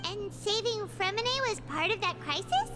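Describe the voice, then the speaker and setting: Very high, animated little fairy sidekick, childlike and inquisitive, for a video game. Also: female voice, normal speed. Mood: curious, insistent. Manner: speaks of herself in third person. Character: small childlike floating fairy companion, excitable and nosy.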